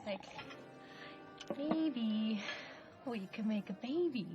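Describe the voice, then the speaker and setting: Casting: wistful, hesitant wife in a film comedy, highish voice over clear background music. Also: female voice, lively intonation, very slow, muffled recording. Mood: hesitant, pleading. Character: sweet, wistful, yielding wife. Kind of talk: live-action comedy film acting.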